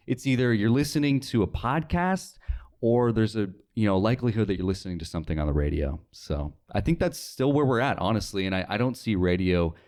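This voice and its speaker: A young man from North Dakota speaks as a guest in an interview. His voice is low, and his style is casual and conversational. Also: a little mellow. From North Dakota, United States. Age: young adult. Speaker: interview guest, radio sales worker.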